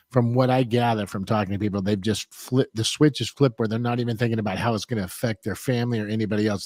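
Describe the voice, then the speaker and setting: A man's voice: on the low side, darkish tone, no echo, studio quality. A man in a casual podcast chat who rambles and restarts his sentences, talking with animation.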